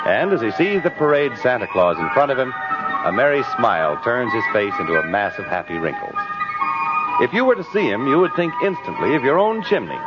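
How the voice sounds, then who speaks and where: Male 1950s radio announcer-narrator, formal and dramatic, lively intonation, dull old recording over music. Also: medium pitch.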